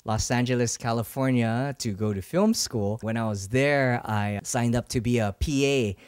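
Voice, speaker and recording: A man's voice: mid-range voice, normal speed, smooth, dry recording, clean studio sound. A man in his thirties from Hawaii talking casually and playfully as a filmmaker being interviewed, speaking into a handheld mic. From Hawaii, USA. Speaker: filmmaker answering interview questions. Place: small room indoors, seated on a couch. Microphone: handheld microphone held close.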